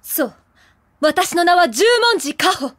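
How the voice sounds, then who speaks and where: A woman's voice: very high voice, slow pace, a bit bright, no room sound, studio quality, heavily acted. A woman playing an imperious, haughty teenage sorceress, declaiming pompously in a comedic anime audio drama. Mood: haughty challenge. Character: imperious teenage sorceress, haughty and grandiose rival clan heiress. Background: music.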